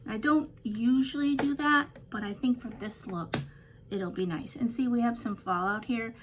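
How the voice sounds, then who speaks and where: An older woman with a slightly raspy voice, chatting casually through a home makeup tutorial with rambling, self-deprecating asides. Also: a little high, moderate pace, noisy background. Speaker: beauty vlogger.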